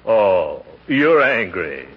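An American actor playing a blustery, jovial middle-aged uncle who hems, chuckles and gets easily flustered, in 1940s radio comedy style. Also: male voice, on the low side, sing-song, drawn-out words, husky, heavily acted. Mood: coaxing, sheepish. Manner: hemming, chuckling, exclamatory. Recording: dull, noisy old radio recording.

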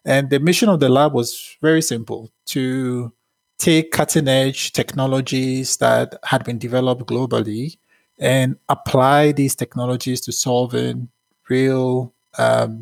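Gravelly man from Ghana, measured. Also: mid-range voice, fairly even intonation, no room sound. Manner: measured, thoughtful, with frequent fillers. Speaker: tech company founder. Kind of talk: podcast interview answers.

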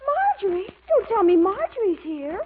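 A restless, scatterbrained young woman, chatters in a very high voice that bounces around in pitch. She gushes like a popular girl in a vintage radio comedy, and the recording is thin and tinny. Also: big pitch swings, moderate pace, slight background noise. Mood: flustered, distracted. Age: young adult. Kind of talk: old-time radio comedy acting. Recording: tinny old radio recording, music behind.

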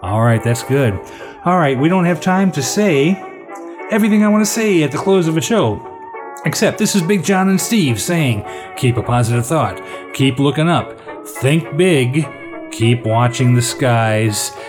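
A man's voice: on the high side, lively intonation, measured pace, music in the background. A man hosting a radio show in a casual, conversational sign-off style with a folksy, hesitant manner.

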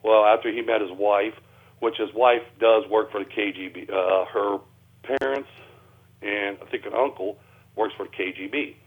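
A man's voice: lowish voice, fairly even intonation, normal speed. A man with a folksy, ungrammatical way of talking, answering as a guest researcher in a radio interview over the telephone. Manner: folksy, ungrammatical plain speech. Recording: tinny phone line.

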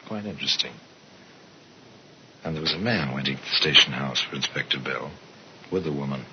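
A worldly, sardonic storyteller narrates an old radio mystery in an extremely deep voice. The delivery is measured and dramatic, with a wry edge. The recording is muffled and heavy with noise. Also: male voice, lively intonation. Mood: musing. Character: worldly, sardonic male narrator of murder tales.

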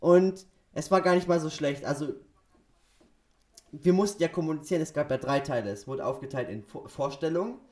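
Young male podcast co-host, slightly high-pitched, casual, stumbles and restarts while telling stories. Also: a little mellow. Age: teenager or young adult. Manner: halting, self-correcting storytelling. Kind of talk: casual chat.

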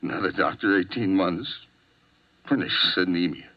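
A dull, narrow vintage radio recording carries a low male voice. a jealous husband on the run, desperate and short-fused, swinging between menace and broken murmurs in 1950s radio drama style. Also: normal speed. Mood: subdued, bitter. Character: hot-tempered desperate jealous husband, hunted murder suspect.

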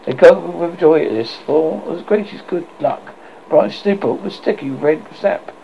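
A man reading a classic children's novel aloud, expressive but stumbling and restarting often, on a muffled lo-fi recording with faint hiss. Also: a little high, measured pace, creaky. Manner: expressive, with frequent slips and restarts. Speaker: audiobook reader.

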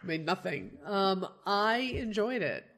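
A woman co-hosting a comic book podcast in casual chat, a little croaky from a cold, chatty and laughing easily. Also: mid-range voice, sing-song, slow, a bit bright. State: getting over a cold, slightly croaky. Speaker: podcast co-host.

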